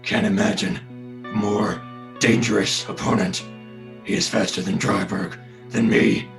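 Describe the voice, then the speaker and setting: A grim vigilante dictating a last journal. The voice is heavily fried and gravelly, slow and clipped. Music plays clearly behind it in this drama. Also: male voice, on the low side, level tone. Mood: grim, resolute. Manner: terse, clipped fragments. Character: grim masked vigilante writing his final journal.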